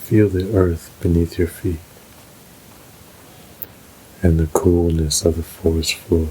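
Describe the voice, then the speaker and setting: A man narrating a scripted guided meditation in a soothing, measured, unhurried way. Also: low-pitched, little pitch movement, warm tone, slight background noise, low-quality recording.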